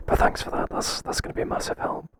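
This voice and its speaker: A man playing a hesitant, flattering boss in a whispered ASMR roleplay, speaking softly and breathily in a halting, confiding way. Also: no echo, close to an everyday voice. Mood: reassuring, businesslike. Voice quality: soft, breathy, mostly whispered.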